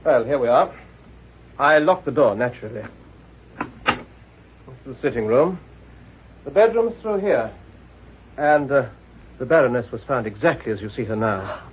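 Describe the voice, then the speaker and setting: An actor playing an anxious, officious hotel manager in an old-time radio mystery, brisk, proper and apologetic. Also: male voice, medium pitch, sing-song, a little hiss or hum, close to an everyday voice. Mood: businesslike. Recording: dull vintage radio recording.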